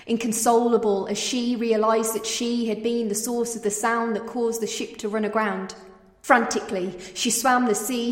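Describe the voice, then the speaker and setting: Woman reading a sad story poem, really expressive, big reverb all over it. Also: mid-range voice. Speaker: poetry reader. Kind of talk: dramatic storytelling poetry recitation. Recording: echoey, long reverb.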